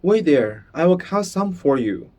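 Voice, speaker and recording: A man's voice: a little high, sing-song, a little slow, dark tone, pitched above the performer's own voice. A man voicing a bold, generous young boy in a read-aloud story, speaking emphatically. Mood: apologetic, eager.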